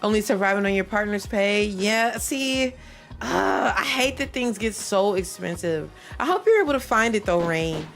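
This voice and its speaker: A woman with a stuffy nose chatting casually with her viewers while she warms up at a game, rambling and repeating herself. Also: mid-range voice, expressive, normal speed, soft background music. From Michigan. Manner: rambling, repeats herself, chats with stream chat. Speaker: livestreamer playing a speedrun practice.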